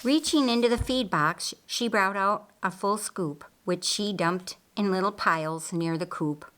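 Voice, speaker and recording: A woman reading an audiobook story in a deliberate, expressive storytelling style. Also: medium pitch. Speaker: audiobook narrator. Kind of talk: scripted storytelling narration with acted dialogue.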